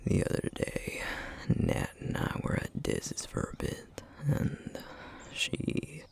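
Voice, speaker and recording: A man playing a tender, teasing single-dad boyfriend in an intimate ASMR roleplay, with a husky, half-whispered, affectionate murmur. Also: takes it slowly, natural voice. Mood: gentle, hesitant. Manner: soft, hesitant, affectionate murmuring with soft chuckles. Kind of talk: ASMR roleplay, intimate one-sided dialogue to listener.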